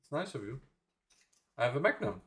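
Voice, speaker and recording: A young man from the Netherlands talks loosely on a livestream, wandering from thought to thought with frequent laughs. His voice sits at an ordinary middle pitch. He chats easily, as if to viewers he knows. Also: very animated, a little fast, pushed and bright. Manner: rambling, chatty, laughs often, reads chat aloud. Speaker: livestream reaction host. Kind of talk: casual livestream chat.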